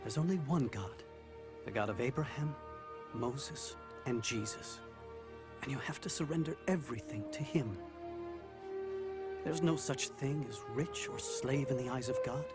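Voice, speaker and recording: A man giving dramatic scripted narration for an animated religious story, in an earnest and reverent manner. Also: medium pitch, unhurried, long pauses. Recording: background music under the voice.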